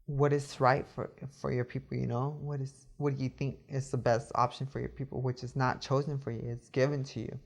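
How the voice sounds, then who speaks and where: A man's voice: medium pitch, little pitch movement, brisk, vocal fry, few pauses. A young man giving an earnest, reflective account, thinking aloud and often adding "you know". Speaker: interviewee.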